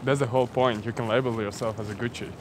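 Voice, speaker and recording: A man's voice: mid-range voice, steady tone, fast talker, background noise. A young man from Latvia, chatting casually and joking through a travel vlog with a few swear words. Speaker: vlogger.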